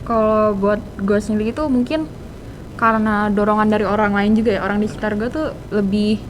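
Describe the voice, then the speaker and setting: A woman's voice: fairly even intonation, fairly quick. A teenage girl with a Jakarta accent, answering as a podcast guest in informal, slangy speech sprinkled with English terms. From Indonesia. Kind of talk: casual interview chat. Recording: noisy room, low rumble.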